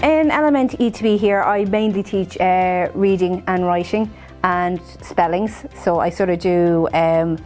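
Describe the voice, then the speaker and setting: A woman's voice: on the low side, a little slow, a little mellow, soft background music. A woman, a literacy tutor, speaking patiently in a small classroom. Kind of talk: interview.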